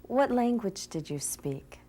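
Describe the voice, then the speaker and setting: A woman's voice: fairly high pitch, animated, slow, mellow. A woman interviewer asking short, plain scripted questions.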